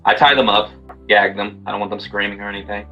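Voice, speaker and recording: A man improvises his part in a tabletop game in a casual, blunt way. His voice sits slightly low but is bright and forceful, with faint music behind it. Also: fairly even intonation, quick pace, lo-fi sound.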